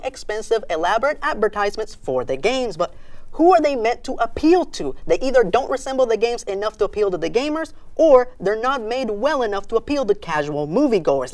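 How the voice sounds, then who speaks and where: High-pitched, very animated man hosting a review. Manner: animated, sarcastic. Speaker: video reviewer, show host. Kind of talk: scripted comedic film-review commentary.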